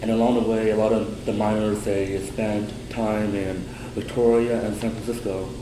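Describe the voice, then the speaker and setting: Young male student, lowish voice, steady prepared delivery, roomy echo, air-conditioning hum behind. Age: young adult. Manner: steady, prepared delivery with some slow stresses. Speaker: student presenter. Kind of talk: prepared class presentation.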